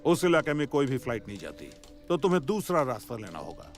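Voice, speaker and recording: A man voicing a middle-aged, jovial but stern police chief in a Hindi cartoon dub, expressive and authoritative. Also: medium pitch, fast talker. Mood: helpful, briefing. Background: music.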